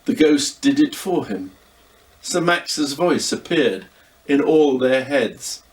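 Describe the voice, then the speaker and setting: Expressive male storyteller. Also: medium pitch, takes it slowly, far from the mic, poor audio. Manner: expressive, addresses the listener. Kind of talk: reading a children's story aloud.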